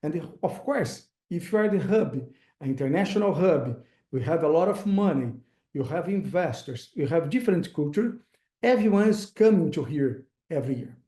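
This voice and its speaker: A man with a Brazilian accent, Portuguese as his first language, giving a webinar talk as an investor, halting and pausing often with fillers. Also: a little high, lively intonation, mellow, reverberant. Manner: halting, searching for words, frequent uh fillers. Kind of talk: webinar presentation.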